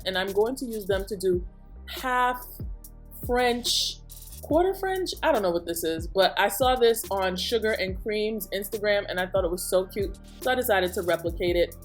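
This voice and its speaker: Woman, steady tutorial voice-over, mid-range voice, faint music under it. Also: mid-range voice. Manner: steady product walkthrough. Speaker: beauty vlogger. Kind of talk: scripted voice-over narration. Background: soft music.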